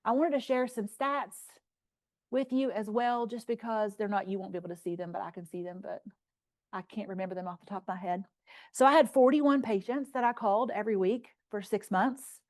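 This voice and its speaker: A woman's voice: mid-range voice, steady tone, a little fast, a little mellow. A woman presenting at a healthcare conference, telling patient stories and results in an earnest, informal, plain-spoken way. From rural area.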